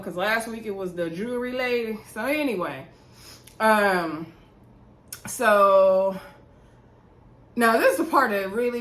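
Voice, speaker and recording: A woman hosts a casual recap vlog in a roomy, echoey space. Her pitch sits in the middle range for a woman. She speaks conversationally, gives her opinions freely and addresses viewers directly. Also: fairly bright tone. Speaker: YouTube reality show recap host. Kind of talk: casual chatty TV episode recap. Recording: roomy, echoey indoor sound.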